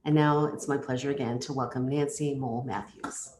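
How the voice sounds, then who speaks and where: A woman's voice: very deep voice, fairly even intonation, fairly quick, a little mellow. A woman hosting an online event, reading a prepared introduction formally with small stumbles. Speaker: event host introducing a speaker. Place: indoors, on a video call. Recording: echoey room, faint background noise.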